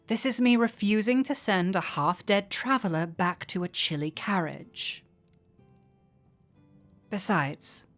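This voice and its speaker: A woman playing a flirty, warm-hearted tavern innkeeper in an intimate roleplay audio drama, teasing coyly and playfully. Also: medium pitch. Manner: coy, playful, unhurried teasing.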